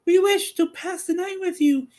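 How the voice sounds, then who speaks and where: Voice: extremely high pitch, normal speed, darkish tone, pitched above the performer's own voice. A man voicing a brave, polite and earnest young farm girl in a storybook reading. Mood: reassuring.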